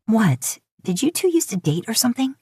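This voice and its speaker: A woman's voice: on the high side, sing-song, moderate pace, dark tone, breathy edge, dry recording, voice pushed up. A woman voicing a suspicious young girlfriend in an audiobook story, pointed and brittle in her politeness. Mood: annoyed, suspicious.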